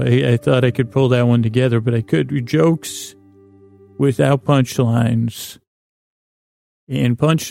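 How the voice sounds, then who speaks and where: Male sleep-podcast host, heavily gravelly fry, halting soothing rambles, close dry sound over soft music. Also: medium pitch, dry recording. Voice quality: creaky, gravelly. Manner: meandering, halting, stammering and repeating himself; lulling, soothing.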